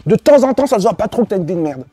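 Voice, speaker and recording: Husky, lively-voiced male coach swearing bluntly. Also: high-pitched, very fast, dry recording, soft background music. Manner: blunt and profane, with emphatic repetition; talks straight to the listener, prodding with questions. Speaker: dating and life coach for women. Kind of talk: motivational monologue addressed to viewers.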